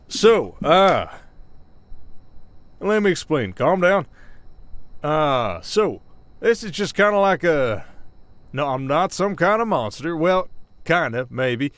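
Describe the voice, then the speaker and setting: A man's voice: a little high, fairly bright tone, heavily acted. A man playing a folksy, hesitant werewolf sheriff with a Southern Old West drawl in an ASMR roleplay. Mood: flustered, defensive. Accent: American Southern, Old West drawl. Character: folksy werewolf sheriff of an Old West town, long-lived but young-faced. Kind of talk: roleplay audio acting, ASMR. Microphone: close studio mic, dry.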